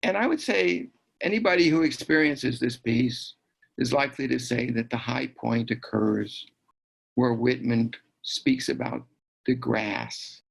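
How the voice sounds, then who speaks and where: Gravelly man narrating a documentary. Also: medium pitch, unhurried, rough recording. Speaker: film narrator and commentator.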